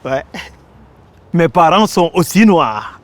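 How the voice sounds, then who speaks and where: A man performs a theatrical monologue, declaiming each phrase with dramatic pauses. His voice sits high for a man, and he takes his time, letting lines land slowly. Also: very animated. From Senegal, Casamance. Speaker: actor.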